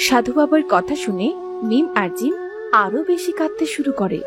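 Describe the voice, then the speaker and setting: High-pitched, lively woman storyteller. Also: moderate pace, over loud music. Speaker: narrator. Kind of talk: scripted children's story narration.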